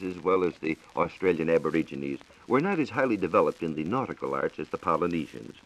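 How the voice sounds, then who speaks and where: An American man giving a scripted 1940s radio science talk as a reporter, in a measured, formal lecturing manner. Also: fairly low pitch, rough recording. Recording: 1940s radio broadcast recording.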